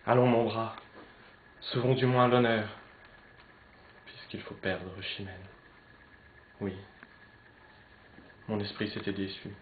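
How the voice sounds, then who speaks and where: A man playing an anguished young Spanish nobleman torn between love and honour, declaiming classical French verse theatrically, swinging between hushed and loud. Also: low-pitched, completely flat delivery, slow, long pauses. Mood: despairing, then resolute. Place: poetry club, live recitation. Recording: roomy, slightly dull live recording, noisy.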